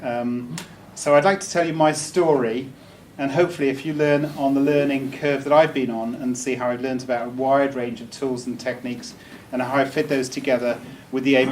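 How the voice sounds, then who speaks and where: Man, casual joking speaker, um-heavy, mid pitch; distant room mic. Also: a little mellow, a little hiss or hum. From Wales. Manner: chatty, joking, with many ums and restarts. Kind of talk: informal talk to a live audience. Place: meeting room.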